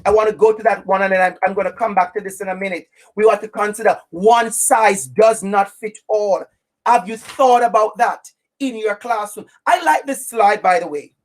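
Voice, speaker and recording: A woman from Jamaica with a Jamaican accent, presenting a webinar to teachers in an emphatic, preacherly way with deliberate repetition. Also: medium pitch. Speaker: equity trainer for teachers.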